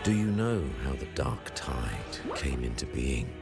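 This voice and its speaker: A man playing a stately older high priest in a fantasy video game, speaking in grave, formal, measured oratory. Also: sing-song, loud music behind the voice, exaggerated voice. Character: solemn, stately high priest of a religious order. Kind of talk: theatrical fantasy game dialogue.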